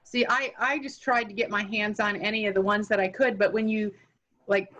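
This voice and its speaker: A woman's voice: medium pitch, steady tone, moderate pace, fairly bright tone, dull audio. A woman presenting at an online session, asking plain, direct questions over a video call. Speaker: guest presenter. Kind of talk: casual online meeting chat.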